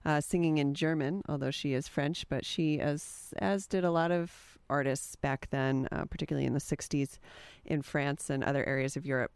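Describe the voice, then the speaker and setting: Woman radio dj, easygoing and meandering, smooth clear voice, dry studio sound. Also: very deep voice, no room sound. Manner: easygoing, meandering, enthusiastic about old records. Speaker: college radio DJ. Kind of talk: casual radio back-announcing and chat.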